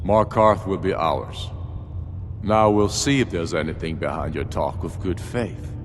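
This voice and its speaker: Defiant warlord for a fantasy game: deep, forceful, proud, ringing in a large hall. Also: male voice, faint music behind. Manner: haughty, forceful, deliberate. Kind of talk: dramatic fantasy game dialogue.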